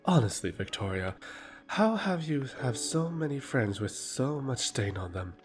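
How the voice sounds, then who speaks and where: A man's voice: mid-range voice, very animated, unhurried, music in the background. A man playing a sly, condescending royal emissary in a fantasy audio drama, speaking with silky, mocking politeness. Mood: insinuating.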